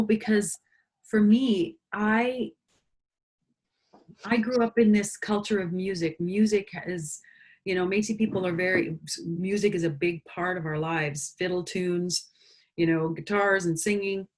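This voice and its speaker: A woman's voice: medium pitch, steady tone, a little slow. A woman from Canada, a singer-songwriter and interview guest, speaking earnestly and with conviction. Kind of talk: reflective conversation.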